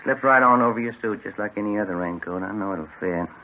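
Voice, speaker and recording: An actor as Ben Romero, folksy low-voiced detective partner. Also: male voice, fast, lightly acted. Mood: chatty, pleased. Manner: folksy, earnest, rambling. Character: chatty, proud, fussy married police detective. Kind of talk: naturalistic 1950s radio drama acting. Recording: hissy, narrow old radio recording.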